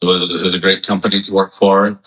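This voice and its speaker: Man, forties, from Hawaii, very low voice, crisp bright tone, relaxed friendly guest. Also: fast, low-quality recording. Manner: friendly, easygoing, with fillers. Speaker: physical therapist guest. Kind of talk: casual interview.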